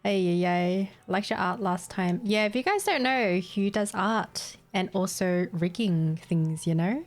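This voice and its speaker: A woman's voice: medium pitch, measured pace. Young aussie woman streaming games late at night, sleepy and scatterbrained, giggles a lot, reading chat, faint music behind. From Australia. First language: Vietnamese. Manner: tired, scatterbrained, giggly, reads chat aloud. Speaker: game streamer. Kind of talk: casual live-stream chat while gaming.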